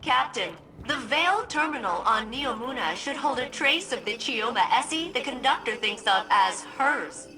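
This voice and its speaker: A woman's voice: a little high, lively intonation, normal speed, hardly any pauses, sharp tone, faint music behind, poor audio. An actress playing a quirky, anxious, chatty female AI companion in a video game, with nervous, wry asides. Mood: nervous, hopeful. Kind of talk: video game character dialogue.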